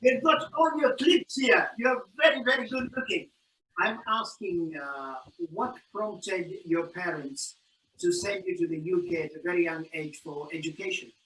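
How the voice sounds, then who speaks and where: A man hosts the call in a high, bright voice that sits well back from the mic. His room adds a clear echo. He keeps his replies casual and short and urges the guest on. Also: normal speed, a little hiss or hum. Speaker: interview host. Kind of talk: video call interview. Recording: roomy echo, distant from mic.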